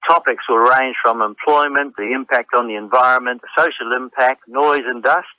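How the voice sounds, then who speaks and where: A man's voice: medium pitch, normal speed, no room sound, dull audio. An Australian man speaking as a community spokesman in a prepared comment for radio news.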